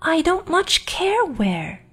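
A woman's voice: very high-pitched, very animated, slow, faint music behind, pitched above the performer's own voice, heavily acted. A woman voicing an earnest, polite and inquisitive young girl in a storybook, with proper, emphatic speech. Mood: timid, curious. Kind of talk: storybook character acting.

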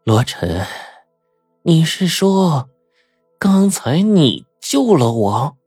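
A male narrator acts out a cold young woman in an adventure story. She questions with menace, slowly and word by word, in a slightly raised, husky tone. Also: on the high side, sing-song, darkish tone, no room sound, studio quality, faint music behind, pitched above the performer's own voice, heavily acted.

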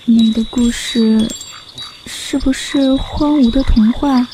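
A woman playing a naive, devoted young sock in a fairy-tale radio drama, in a soft, childlike, plaintive way. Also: a little high, steady tone, slow pace, a little hiss or hum, low-quality recording. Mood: gentle, hopeful. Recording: clear music behind voice.

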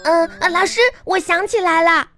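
A woman's voice: very high-pitched, fairly even intonation, normal speed, pushed and bright, soft background music. A woman playing a bossy, sulky little schoolgirl with a childish, whiny drawl in a comedy radio play. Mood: dim, sheepish.

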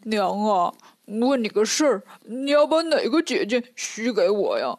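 A woman voicing a greedy, lovestruck, bumbling pig-man in a storybook tale, with a drawn-out, wheedling, oafish delivery. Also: mid-range voice, big pitch swings, a little mellow, dry recording, clean studio sound. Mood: fawning.

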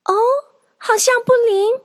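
A woman's voice: very high voice, fairly even intonation, takes it slowly, darkish tone, pitched above the performer's own voice. A woman voicing an eager, sweet little girl in a children's audio drama, with music behind her.

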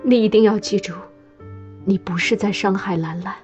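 A woman's voice: medium pitch, moderate pace, a little mellow, faint music behind, natural voice. Solemn grieving mother acted by a woman. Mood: solemn, resolute. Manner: solemn, emotional, pleading. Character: grieving, resolute mother urging her brother to sacrifice. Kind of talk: radio drama acting.

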